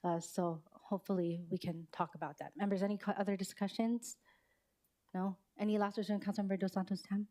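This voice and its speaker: A woman's voice: medium pitch, steady tone, a little fast, warm tone. Hesitant woman chairing a council meeting. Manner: rambling, hesitant with many fillers. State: losing her voice. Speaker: committee chair.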